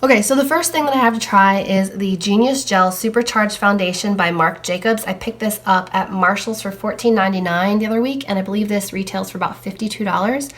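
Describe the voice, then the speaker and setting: A young woman's casual makeup review, her voice a little froggy and hoarse as she gets over a cold. She talks easily while she tries out products. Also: mid-range voice, fairly quick, few pauses, fairly bright tone. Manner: chatty, talking while applying makeup. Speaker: beauty vlogger.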